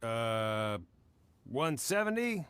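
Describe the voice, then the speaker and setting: A man's voice: medium pitch, sing-song, very slow, a bit bright, a little hiss or hum, exaggerated voice. An actor playing a hesitant man bidding at auction in a video game. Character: hesitant male auction bidder.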